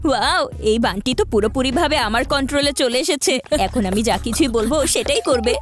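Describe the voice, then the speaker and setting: A high-pitched scheming cartoon kid. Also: female voice, expressive, fast. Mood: gleeful, triumphant. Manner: theatrical, exclamatory. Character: scheming, bossy child, older sibling type, gleeful prankster. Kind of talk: cartoon voice acting. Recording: music behind the voice.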